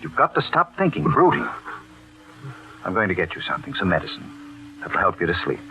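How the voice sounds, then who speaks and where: A no-nonsense male major in an old radio drama. His deep voice swings widely as he reassures firmly and urgently. The sound is muffled, with clear background noise. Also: wildly swooping pitch, a little fast, pushed and bright, exaggerated voice. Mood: insistent. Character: concerned, no-nonsense military major.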